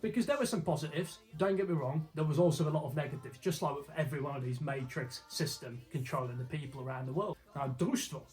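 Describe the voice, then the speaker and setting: An emphatic man presents an informal explainer over background music. His voice sits fairly high for a man, and he talks colloquially, tossing questions at the viewer. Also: quick pace, hardly any pauses, darkish tone, rough recording. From Slovakia. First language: Slovak. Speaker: video host. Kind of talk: informal explainer narration to camera.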